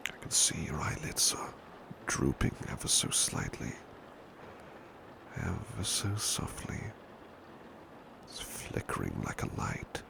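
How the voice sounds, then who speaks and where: A man playing a devoted, protective butler in an intimate ASMR roleplay, speaking in a hushed, gentle, courteous and hesitant British voice. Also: very deep voice, slow, gravelly, pauses often, exaggerated voice. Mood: reassuring, devoted. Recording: steady background noise, rain ambience. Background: rain ambience.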